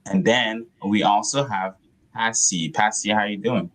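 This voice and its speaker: A man's voice: on the low side, unhurried, fairly bright tone. A man co-hosting a live online talk in a loose, chatty way with plenty of fillers. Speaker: co-host. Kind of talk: casual live-stream hosting.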